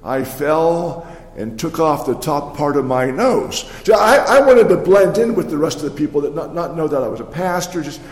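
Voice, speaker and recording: An older man speaking as a pastor, giving a folksy, conversational sermon with halting, repeated words in a large reverberant hall. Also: fairly high pitch, sing-song. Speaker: pastor preaching. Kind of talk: sermon with folksy personal storytelling. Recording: echoey hall with long reverb.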